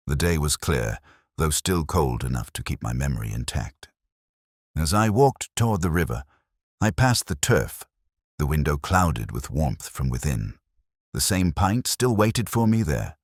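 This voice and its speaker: Very deep, brooding detective narrator, played by a man. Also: no echo, clean studio sound, exaggerated voice. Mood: reflective, somber. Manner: brooding, literary, measured. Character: weary, melancholy older male police detective narrating his case. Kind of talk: scripted first-person mystery narration.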